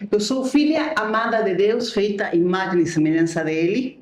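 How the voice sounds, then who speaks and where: A woman's voice: medium pitch, normal speed. Woman, Spanish accent, from Bolivia, storytelling with fillers, echoey room, mic set far off. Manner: warm storytelling, frequent "né" and "eh" fillers. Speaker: guest, leadership mentor. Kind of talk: podcast interview.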